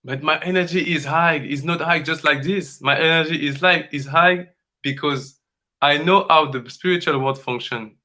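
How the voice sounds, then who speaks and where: A man from Congo, speaking as a dance coach in earnest, halting English and repeating phrases for emphasis. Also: fairly high pitch, bright, forceful tone. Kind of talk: conversational coaching talk.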